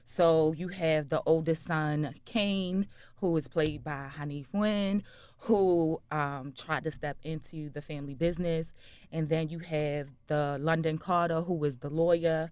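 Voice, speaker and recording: A woman's voice: deep voice, steady tone, measured pace, a little mellow, clean tone, no room sound. A woman interview guest, a writer and director, explaining a story in a studio interview.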